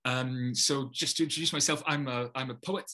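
A man's voice: mid-range voice, steady tone, brisk, sharp tone. A man in his thirties with a Polish accent, a poet talking casually to a webcam from his home study, thoughtful and full of hesitations and restarts. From Poland. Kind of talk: casual talk to camera. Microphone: webcam, video call framing.

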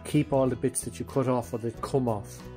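A man's voice: mid-range voice, normal speed, soft background music. A man doing casual how-to narration in his garage workshop, thinking aloud as he works and calling viewers guys. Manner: relaxed, thinking aloud while working, addresses viewers as guys. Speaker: restoration vlogger.

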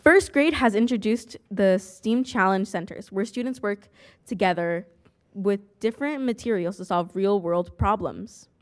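A young student council president reading a prepared school report aloud at a desk microphone in a large meeting hall. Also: female voice, mid-range voice, measured pace. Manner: reads aloud from a script, even and careful.

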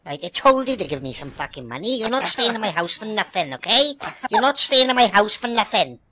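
A Welsh man rants at other players over game chat, his voice pitched high and swinging widely. He scolds in an indignant, sweary way. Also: big pitch swings, fairly quick, sharp tone. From Wales. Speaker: gamer in online voice chat. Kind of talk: comedic in-game trolling rant.

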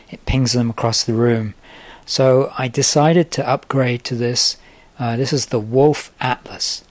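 A man's voice: medium pitch, no echo. Middle-aged male fly-tying instructor, methodical and easygoing, dry close-mic sound. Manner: relaxed, methodical, with frequent uh fillers. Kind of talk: instructional voice-over. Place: home tying desk.

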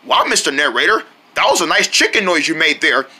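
Someone voicing a silly, excitable cartoon owl, bright voice. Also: medium pitch, a little fast, slightly rough, voice pushed down, heavily acted. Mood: relieved. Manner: folksy, excitable, stammering protests. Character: clumsy silly yellow owl, cheerful but easily exasperated. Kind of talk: animated cartoon acting.

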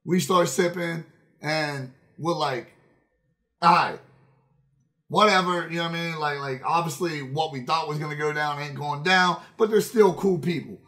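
A man in his thirties with a distinctly high voice for a male. He tells stories casually on a livestream, swearing freely. His delivery is animated and emphatic, circling back to repeat phrases for effect. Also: normal speed. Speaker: game streamer. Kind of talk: casual, profane storytelling on a livestream. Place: indoor room at a streaming setup.

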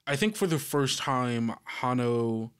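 A man describing scenes in a tabletop role-playing game in a measured, improvised way. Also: medium pitch, lively intonation, clean tone.

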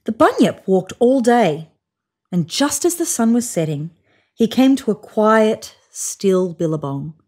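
A woman in her thirties narrating a children's picture book in an expressive read-aloud style. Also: medium pitch, a little slow. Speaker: storybook reader. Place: indoors, on a sofa in a room.

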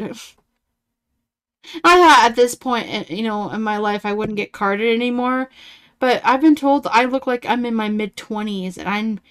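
A woman's voice: on the high side, clear, resonant tone. A woman in her thirties giving casual reaction commentary in a blunt, sarcastic and profane way. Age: 30s.

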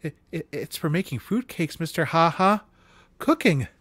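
A man voicing a timid, polite elderly Southern woman from a storybook, hesitant and soft. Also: a little high, animated, moderate pace, voice pushed up. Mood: hesitant, apologetic.

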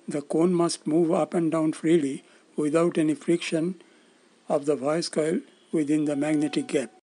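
A man in his sixties giving scripted instructional narration as an electronics instructor, in a measured, formal, explanatory manner. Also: fairly high pitch, warm tone. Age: 60s.

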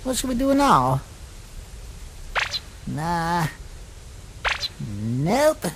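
A woman voicing an excitable, boastful young male skeleton trap-maker with grandiose delivery in a comic dub. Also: fairly low pitch, very slow, background noise, heavily acted. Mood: bored, gloomy. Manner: theatrical, grandiose, dramatic. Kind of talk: animated comic dub acting.

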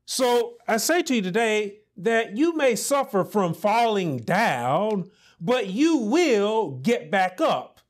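Pastor guy in his 30s giving a sermon, slow and high pitched, lapel mic in a small church room. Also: big pitch swings. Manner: preaching with rising emphasis and slow deliberate pauses. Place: small indoor church office set with pulpit.

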